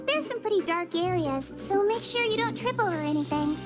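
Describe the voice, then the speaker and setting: A woman voicing a small, caring head nurse in a video game, polite and gently instructive. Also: very high-pitched, animated, a little fast, loud music behind the voice. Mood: caring, gently fussy. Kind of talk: video game character voice acting.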